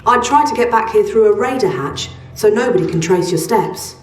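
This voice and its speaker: A blunt female arms dealer voiced by an actress in a video game, casual and dry. The voice is medium-pitched and echoes a little away from the mic, over faint music. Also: expressive, normal speed, close to an everyday voice. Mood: disgruntled. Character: brusque, resentful female arms dealer handing out jobs. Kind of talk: scripted game quest dialogue. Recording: roomy, distant, faint music.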